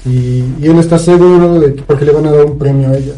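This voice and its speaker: A man's voice: fairly high pitch, fast, dark tone, faint music behind, low-quality recording. A man with a Mexican accent chatting casually as a podcast co-host, recounting a story in a rambling, colloquial way with frequent tag questions. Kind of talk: casual chat, recounting a film plot.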